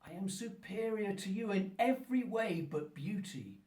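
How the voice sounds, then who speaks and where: Wretched eloquent monster, very high voice, radio play, grandiloquent, roomy and distant. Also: male voice, animated, a little mellow. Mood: menacing. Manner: slow, grandiloquent, pleading and menacing delivery. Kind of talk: theatrical radio play acting. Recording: roomy echo, distant from the mic.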